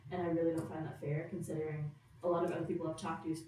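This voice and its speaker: A woman's voice: deep voice, flat delivery, racing, dark tone. A teenage girl from Nova Scotia with a Canadian accent, talking in a rambling, casual way as a podcast co-host. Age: teens. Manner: rambling, frequent "like". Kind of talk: casual discussion. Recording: very echoey room, distant mic, faint noise.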